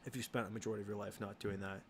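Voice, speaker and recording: A young man hosting a casual livestream Q and A, answering chat questions in a halting way with many ums and restarts. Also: fairly low pitch, little pitch movement, gravelly, slight background noise.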